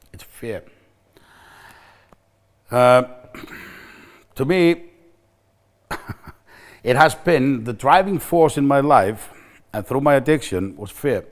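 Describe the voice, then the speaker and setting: A middle-aged man with an Icelandic accent talks straight to the camera for a recovery center. His voice sits at an ordinary middle pitch. He sounds candid and reflective, circling back and restarting his sentences as he searches for words. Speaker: recovery center staff member. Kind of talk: personal talk to camera.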